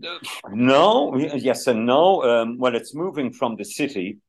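A man's voice: mid-range voice, expressive, unhurried. A man from Dublin with a Dublin Irish accent, chatting as a guest in a casual interview, rambling with many restarts and "you know"s. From Dublin, Ireland.

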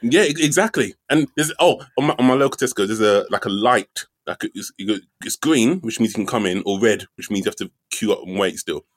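A young comedian from London with a somewhat low voice tells stories in a loose, joking way. He fills his talk with ums and casual swearing. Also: fairly quick, hardly any pauses, no room sound, studio quality. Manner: laid-back joking storyteller, swears, many fillers. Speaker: comedian, podcast co-host. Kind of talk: casual comedy podcast chat.

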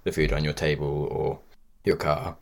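A man's voice: rumbling low, flat delivery, moderate pace. A man chatting casually as a podcast co-host, agreeable and a little hesitant, with loosely phrased remarks. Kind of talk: casual chat.

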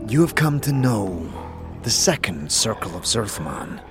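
A man voicing a stern githzerai warrior teacher who speaks in measured, solemn, formal aphorisms. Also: fairly low pitch, very animated, music in the background.

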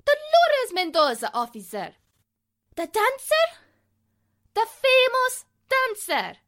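Radio drama: imperious Spanish diva dancer, fiery and theatrical, very high voice, slow dramatic swings. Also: female voice, huge pitch swings, a bit bright, full character voice. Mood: haughty, indignant. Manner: imperious, dramatic, broken English. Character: haughty, fiery foreign prima ballerina, easily offended. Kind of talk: 1940s radio drama acting.

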